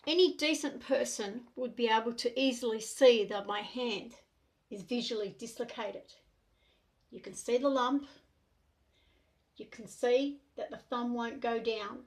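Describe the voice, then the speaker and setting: A middle-aged Australian woman speaking to the camera in an earnest, deliberate way, in short measured phrases. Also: on the high side. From New South Wales, Australia. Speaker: self-represented complainant. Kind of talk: monologue to camera.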